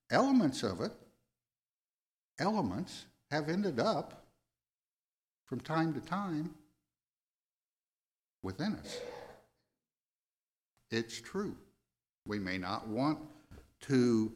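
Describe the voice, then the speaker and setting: An American man in his seventies preaching a sermon, going verse by verse in a halting, deliberate way and repeating phrases for emphasis. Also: medium pitch. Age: 70s. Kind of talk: sermon, verse-by-verse Bible exposition.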